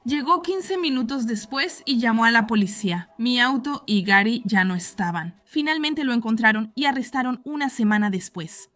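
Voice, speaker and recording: A woman reads first-person horror tales in a fairly high voice. Her delivery is even and measured, like scripted storytelling for an animated channel. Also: no echo. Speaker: narrator.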